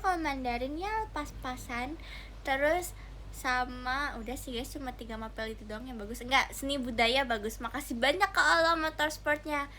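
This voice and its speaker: A woman's voice: sits high, pushed and bright, slight background noise. A young woman, chatting casually and giggly with viewers on a livestream, addressing them directly. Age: young adult. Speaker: idol livestreamer.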